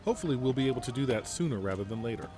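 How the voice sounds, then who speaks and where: A man's voice: mid-range voice, animated, racing, music in the background, lightly acted. An actor playing a polite, commanding male general in an audio drama, speaking formally.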